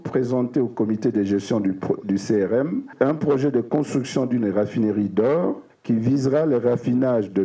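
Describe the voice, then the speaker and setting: A man, a company official, giving a formal, deliberate statement for a news report. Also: medium pitch, dark tone. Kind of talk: interview statement. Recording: distant mic.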